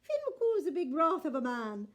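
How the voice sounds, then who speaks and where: A woman's voice: high voice, big pitch swings, normal speed, pitched above the performer's own voice. A woman voicing a crafty, sweet-talking wife who coaxes with a sugary tone. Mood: coaxing. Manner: sugary, coaxing.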